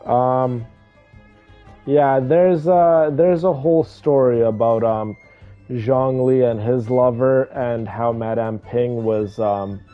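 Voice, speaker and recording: A man's voice: medium pitch, steady tone, takes it slowly. A man chatting casually and affectionately to viewers as he comments on a game live. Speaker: streamer. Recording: thin phone-like sound, game music behind.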